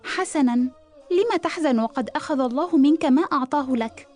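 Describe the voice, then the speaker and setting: Cartoon big sister, high soft-toned voice, gentle, persuasive, determined, formal Arabic. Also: female voice, lively intonation, fairly quick, faint music behind. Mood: concerned, determined. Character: caring, determined, clever older sister in cartoon family.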